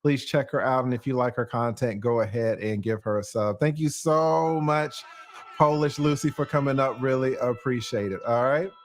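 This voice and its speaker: A man's voice: medium pitch, normal speed, slight background noise. Guy hosting a live call-in show, mid voice, casual and agreeable, says stuff twice. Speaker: talk show host.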